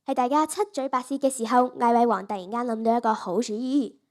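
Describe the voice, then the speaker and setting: A woman's voice: a little high, fast talker, darkish tone, natural voice. A primary school girl with a Hong Kong Cantonese accent narrating a story in dramatic style. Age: child, primary school student.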